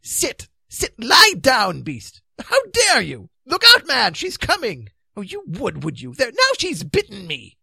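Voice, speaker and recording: A woman's voice: on the high side, huge pitch swings, measured pace, gravelly, hardly any pauses, pushed and bright, husky, dry recording, voice pushed up, full character voice. A woman voicing a vague, kindly, lovesick old king in a storybook reading, apologetic and trailing off in little questions. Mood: flustered shouting. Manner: vague, apologetic, trails off with "what?" and "don't you know".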